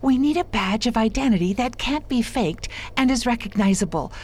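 A woman plays a scientist in a science fiction radio drama. Her voice is on the high side, and she speaks formally, with precise and earnest wording. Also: lively intonation, normal speed, darkish tone, a little hiss or hum, natural voice.

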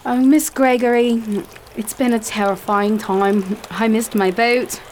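A frightened young woman stranded far from home, anxious and pleading, as a young actress plays her. Her voice sits a little high, with a faint hiss behind it. Radio play acting. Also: fairly even intonation, unhurried, darkish tone, natural voice. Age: young adult. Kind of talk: amateur radio drama acting.

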